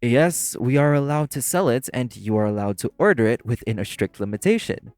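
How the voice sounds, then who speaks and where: A man playing a prim, exasperated waiter in a scripted audio roleplay, speaking formally with deadpan, condescending patience. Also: medium pitch, brisk, clean tone, dry recording, natural voice. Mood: stern, formal. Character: prim, exasperated, sarcastic young waiter boyfriend. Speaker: voice actor.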